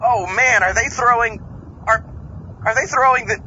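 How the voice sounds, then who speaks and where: A man's voice: very high-pitched, normal speed, bright, forceful tone, dull audio, a little hiss or hum. A man hosting a comedy horror podcast skit, reacting in a hammy, exclamatory way. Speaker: podcast host in comic skits.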